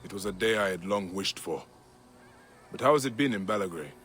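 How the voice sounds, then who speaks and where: Low-voiced, resolute warrior protector. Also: male voice, lively intonation, brisk, fairly bright tone, a little hiss or hum. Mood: calm, wry. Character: calm, resolute male protector and warrior in ancient Egypt. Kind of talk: video game cutscene acting.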